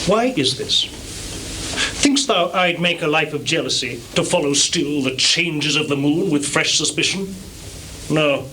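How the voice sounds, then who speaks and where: An actor playing a proud, troubled noble husband who demands the truth, declaiming formally and emphatically in a live Shakespeare reading. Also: male voice, medium pitch, bright, forceful tone. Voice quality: theatrical, declamatory projection. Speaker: stage actor. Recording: noisy, hissy 1980s live theatre recording.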